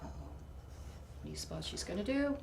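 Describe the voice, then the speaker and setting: A woman reading a children's picture book aloud expressively, with dramatic emphasis and hushed asides to her listeners. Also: mid-range voice, sing-song, moderate pace, creaky. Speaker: storybook reader. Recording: distant mic, faint background noise.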